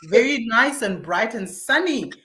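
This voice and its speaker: A bubbly woman joins a radio panel and chats casually, laughing easily. Her voice is bright and sits fairly high. A faint noise hangs in the background. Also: animated, a little slow. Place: in Milwaukee, Wisconsin.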